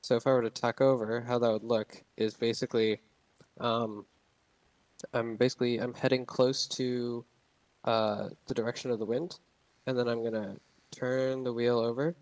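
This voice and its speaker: A man's voice: mid-range voice, level tone, normal speed, darkish tone, no echo, rough recording. Rambling young man explaining sailing. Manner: rambling, many ums and restarts. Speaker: game tutorial narrator. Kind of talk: casual gameplay tutorial.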